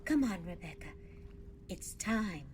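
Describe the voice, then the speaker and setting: Casting: commanding, impatient woman, radio theatre. Lower voice, short stern orders, faint ambient noise behind. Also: animated, slow pace, darkish tone.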